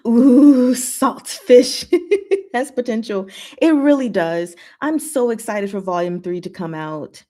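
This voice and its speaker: Slow-paced, giggly woman chatting casually. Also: on the high side. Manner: giggly, animated asides with emphatic one-word verdicts. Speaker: host of a chat and Q&A stream. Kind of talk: casual chat reading and answering viewer comments.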